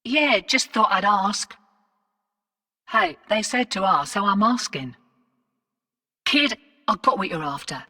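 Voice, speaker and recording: An actress playing a brash, wry, streetwise space-colony fixer in a video game, with a laconic, cocky, slangy drawl. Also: female voice, medium pitch, expressive, slow pace, long pauses, sharp tone, long reverb tail, recorded from a distance, exaggerated voice. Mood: wry, casual, then wry, knowing. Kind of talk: scripted video game character dialogue.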